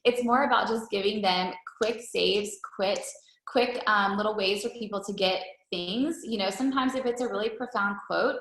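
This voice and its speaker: Echoey, chatty woman coach with vocal fry. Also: mid-range voice, normal speed, a bit bright, slight background noise. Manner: wordy, conversational, peppered with rhetorical check-ins. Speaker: coach leading a team training call. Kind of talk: informal, chatty coaching presentation. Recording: roomy, echoey call recording.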